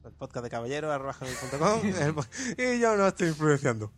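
A man's voice: on the high side, very animated, quick pace. A man from Spain with an Andalusian accent, co-hosting a podcast in a playful, joking casual chat. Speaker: podcast co-host. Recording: background music.

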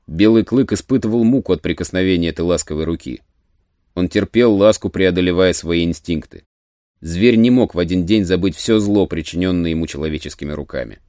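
A man narrating an adventure story in a measured, even storytelling manner. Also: low voice, dry recording, clean studio sound. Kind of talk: scripted narration of a radio play.

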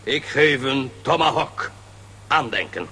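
A man's voice: medium pitch, animated, slow, heavy background noise. A man playing a proud, stern chief who speaks in broken, clipped phrases in a children's audio drama. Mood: solemn.

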